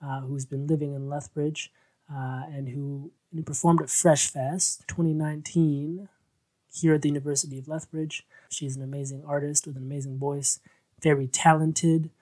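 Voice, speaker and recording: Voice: medium pitch, little pitch movement, moderate pace, mellow, low-quality recording. An effusive, encouraging radio host speaking casually in an interview, praising and thanking the guest.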